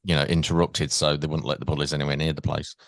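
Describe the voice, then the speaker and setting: An English man with a very deep voice talks in a relaxed, rambling way, often repeating himself as he hosts the chat. His voice sits close to the mic, dry and without echo. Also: fast, gravelly. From England. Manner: easygoing, rambling, repeats words. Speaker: podcast host. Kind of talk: casual interview chat.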